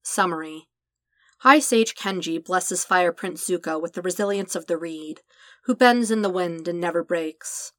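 A woman reading fan fiction aloud as a podfic, in a calm, measured narration. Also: on the low side, dry recording. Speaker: podfic reader.

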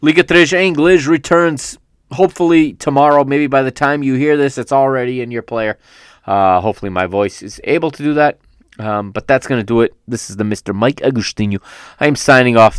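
A man's voice: medium pitch, brisk, smooth, dry recording, studio quality. A man hosting a solo football podcast, rambling through a casual recap, often correcting himself as he goes, with a fan's enthusiasm. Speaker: podcast host. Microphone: studio microphone.

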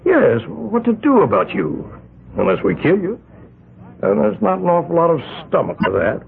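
A man's voice: high voice, wildly swooping pitch, normal speed, creaky, full character voice. An actor playing a wealthy older island patriarch in a 1970s radio drama, rambling and stammering through his excuses. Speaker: island community leader. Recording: tinny lo-fi 1970s radio broadcast.

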